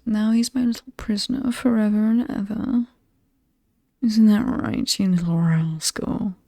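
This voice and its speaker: A woman with a British accent playing an alluring, sinister ageless demon in a horror ASMR roleplay, breathy and half-whispered, teasing and lingering over her words. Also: medium pitch, steady tone, measured pace, warm tone, no echo, studio quality. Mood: playful, doting. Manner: seductive, teasing, theatrically ominous, lingering on words.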